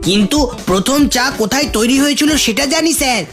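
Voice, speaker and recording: Cheeky cartoon schoolboy pupil, high voice over music. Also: female voice, expressive, fairly quick. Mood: hesitant, then proud. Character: cheeky schoolboy, tea seller's son. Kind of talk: comic cartoon voice acting.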